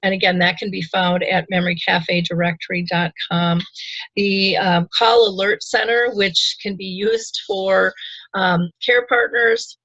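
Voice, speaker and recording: A woman's voice: medium pitch, steady tone, unhurried, fairly bright tone, no echo, lo-fi sound. A woman hosting a radio talk show, chatting enthusiastically with frequent ums.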